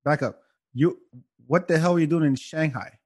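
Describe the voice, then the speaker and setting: A man's voice: on the high side, moderate pace, husky. A man from Senegal who grew up in France, hosting a podcast in a playful, casual way and eagerly cutting in with questions. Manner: playful, interrupts eagerly, laughs often. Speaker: podcast host.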